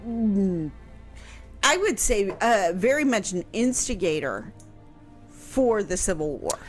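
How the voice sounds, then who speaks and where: A woman from Kansas explaining history on a radio talk show, speaking carefully and pausing often on fillers. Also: mid-range voice, sing-song, faint music behind. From Kansas, USA. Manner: slow, careful, halting with fillers.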